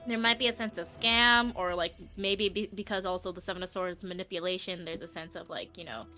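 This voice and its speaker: A woman's voice: fairly low pitch, fairly even intonation, a little fast, pushed and bright, faint music behind. A woman hosting a casual live stream as a tarot reader, giggly and informal in manner.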